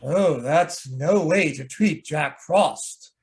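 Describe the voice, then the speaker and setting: A man's voice: on the high side, slow pace, breathy edge, exaggerated voice. A man playing a fussy, theatrical, peevish photographer in an old-time radio show, with an arch, genteel, hesitant manner. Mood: amused disdain. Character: fussy, theatrical, grumbling male photographer, affectionate but peevish. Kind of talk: old-time radio comedy-mystery acting.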